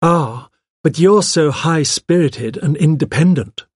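A man's voice: a little high, very animated, brisk, a little mellow, breathy edge, no room sound, studio quality, pitched above the performer's own voice. A man voicing a poised, polite woman with a grave, courteous manner, as in an audiobook. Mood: grave flattery. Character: poised, polite woman, gently probing with questions. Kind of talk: audiobook character voice.

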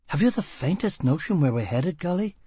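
Man voicing a shrill, high-strung young woman. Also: big pitch swings, fairly quick, mellow, breathy edge, no room sound, exaggerated voice. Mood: anxious. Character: panicky, volatile young woman fleeing underground.

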